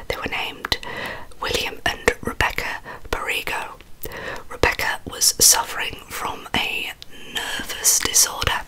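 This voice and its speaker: A woman's voice: pushed and bright, rough recording. Whispering woman, ASMR storyteller. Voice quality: breathy, unvoiced whisper. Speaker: ASMR host. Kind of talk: whispered ASMR true-crime storytelling from a script. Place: small indoor bedroom. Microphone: large microphone close to the mouth.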